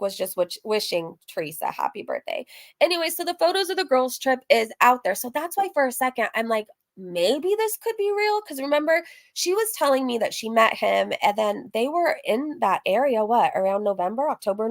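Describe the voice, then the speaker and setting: Female reaction host: slightly high pitch, casual, mocking commentary, dry room sound. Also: no echo. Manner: teasing, mocking. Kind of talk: casual commentary to live viewers.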